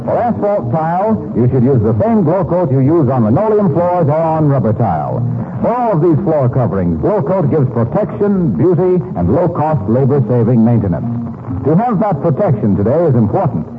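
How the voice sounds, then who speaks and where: A male announcer delivers a polished 1940s radio sales pitch in scripted, salesmanlike phrases. The recording is narrow and plainly noisy, like a vintage broadcast. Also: very animated, moderate pace.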